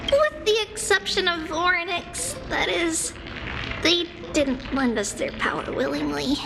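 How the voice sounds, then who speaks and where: A woman's voice: very high voice, lively intonation, measured pace, creaky, noisy background, full character voice. A woman voicing a sweet, earnest little girl in a video game, with childlike phrasing. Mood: bright, eager. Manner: refers to self in third person, childlike phrasing. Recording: music under the voice. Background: background music.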